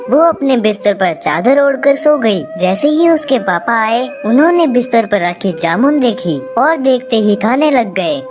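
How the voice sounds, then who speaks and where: A woman narrating a scripted moral story for children as the storyteller. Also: on the high side. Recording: clear music behind the voice.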